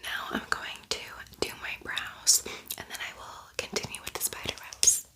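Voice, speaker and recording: A woman speaks in a breathy, unvoiced whisper, as for an ASMR tutorial. Her delivery is soft and intimate, with casual phrasing and gently repeated words. She sounds like a host guiding the listener step by step. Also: moderate pace.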